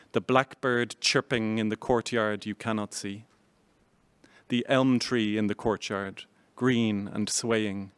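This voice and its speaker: A man from Ireland reading his own poem aloud on a theatre stage, in a measured, unhurried recitation. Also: medium pitch, clean tone, no echo. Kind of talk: poetry reading.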